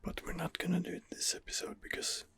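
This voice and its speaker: Man, ASMR gameplay host, breathy whisper, very raspy, lively pitch swings, rambling and hesitant. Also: fast. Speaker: video game streamer, channel host.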